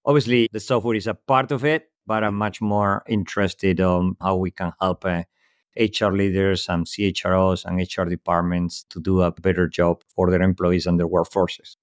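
A man's voice: low voice, level tone, normal speed, dry recording, clean studio sound. A man from Spain speaking English with a Spanish accent, a guest giving thoughtful, structured answers in a podcast interview. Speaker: guest executive.

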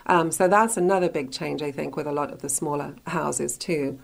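A woman's voice: low-pitched, normal speed, gravelly. A woman hosting a radio interview in a conversational style, putting questions and comments to a guest. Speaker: radio show host.

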